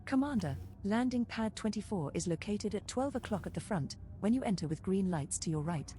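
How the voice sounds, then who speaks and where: A woman voicing a female spaceship copilot computer, giving composed and clearly phrased status announcements in a game. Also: mid-range voice, expressive, brisk, mellow, a little hiss or hum, close to an everyday voice. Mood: composed, informative. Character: female ship computer copilot, informative and cheeky.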